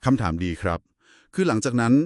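A man's voice: medium pitch, very animated, quick pace, no room sound, clean studio sound. A man giving a polite, didactic explanation in a conversational discussion of Buddhist scripture. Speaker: explainer in a two-person discussion.

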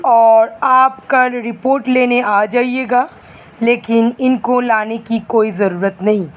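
Woman voicing a slow, stern male doctor. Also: on the high side, steady tone, a little hiss or hum. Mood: businesslike. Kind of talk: animated moral story dialogue.

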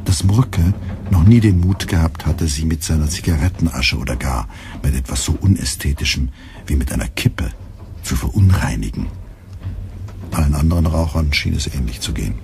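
A man narrating a literary radio drama in a measured, deadpan manner. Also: extremely low pitch, creaky, a little hiss or hum, exaggerated voice. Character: deadpan male narrator of a satirical story.

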